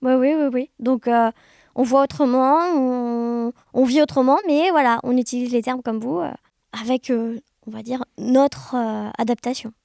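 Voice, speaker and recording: High-voiced woman in casual chat. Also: fairly even intonation, moderate pace, creaky, dark tone, no echo, clean studio sound. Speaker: interview guest, amateur audio fiction maker. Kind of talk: casual conversational interview.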